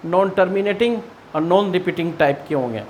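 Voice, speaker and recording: A man's voice: sits high, a little fast, a little hiss or hum. An Indian man teaching maths in an explanatory lesson style, asking and answering his own questions and repeating key points.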